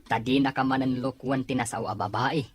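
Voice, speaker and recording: A man's voice: medium pitch, fairly even intonation, fairly quick, close to an everyday voice. A Filipino man playing a nosy, teasing young best friend in an audio drama. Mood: teasing, curious. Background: music.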